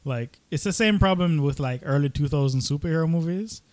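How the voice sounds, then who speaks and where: A man's voice: on the high side, expressive, a little fast, a little mellow, no echo. A man co-hosting a podcast, bantering casually about films with irreverent interjections. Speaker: podcast co-host.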